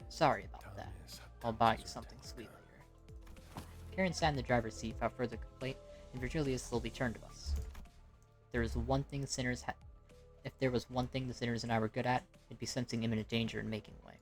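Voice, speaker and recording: A woman narrates a scripted game story in a very deep voice. It is an amateur home recording, with music clearly playing behind her. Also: fairly even intonation, quick pace.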